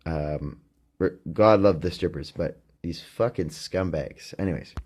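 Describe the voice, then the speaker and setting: Low-voiced man rambling on his podcast. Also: unhurried, darkish tone. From Canada. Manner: profane, hesitant, full of restarts. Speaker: podcast host. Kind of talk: rambling monologue.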